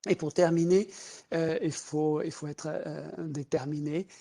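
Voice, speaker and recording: A man's voice: fairly high pitch, flat delivery, unhurried, gravelly, dark tone. A middle-aged man whose first language is Portuguese gives a webinar presentation as a recruiter, hesitant, with many fillers and repeated words. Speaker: recruiter presenting job opportunities.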